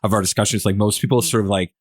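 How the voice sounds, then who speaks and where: A podcast host talking casually and informally, with frequent short interjections in a relaxed discussion. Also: low-pitched, racing, no echo, studio quality.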